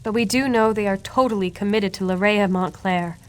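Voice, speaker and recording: A woman's voice: medium pitch, a little fast, close to an everyday voice. An actress voicing an earnest, compassionate noblewoman and healer who leads with a sense of duty in a video game. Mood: concerned. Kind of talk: video game character dialogue.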